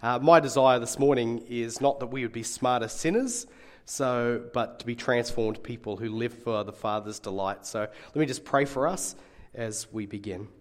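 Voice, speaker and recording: A middle-aged male preacher with a slight rasp in a medium-pitched voice. He delivers his sermon conversationally, in an earnest way, leaning on key phrases with deliberate emphasis. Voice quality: slightly raspy. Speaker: guest preacher and Bible college teacher.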